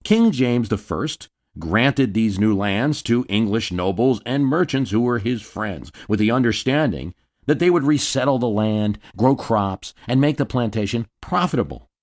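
A man's voice: mid-range voice, expressive, measured pace. A man hosting a history podcast, telling the story in a scripted narration with wry asides. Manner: storytelling, dramatic readings of quotes.

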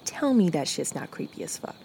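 Casting: slacker driver in horror audio drama, dry and pedantic, lowish but swooping pitch, clear car noise behind. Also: female voice, big pitch swings, brisk, dark tone, full character voice. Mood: offhand. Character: deadpan, foul-mouthed slacker friend at the wheel.

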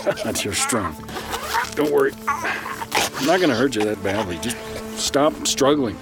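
Animated, wry, flustered therapist. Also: male voice, medium pitch, a little slow, loud music behind the voice, poor audio. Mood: strained, soothing. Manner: hesitant, rambling, sardonic. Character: wry, chatty, flustered male therapist who brawls apologetically. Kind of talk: naturalistic audio drama acting.

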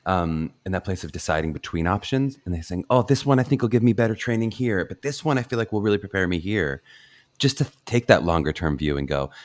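A man's voice: low-pitched, a little mellow, dry recording. A young adult man hosting a podcast, effusive and enthusiastic as he praises his guest. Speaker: podcast host, college audition coach. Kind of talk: casual podcast interview.

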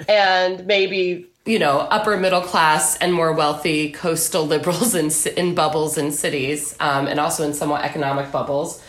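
A woman's voice: on the low side, fairly bright tone. A woman giving political commentary as a guest in a podcast interview. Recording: echoey room.

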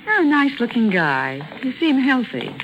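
A woman's voice: sits high, big pitch swings, moderate pace, highs cut off, background noise. A woman playing a tough, teasing, sardonic femme fatale in a 1940s radio crime drama. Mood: cool, evasive. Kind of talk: 1940s radio noir acting. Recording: old 1940s radio recording.

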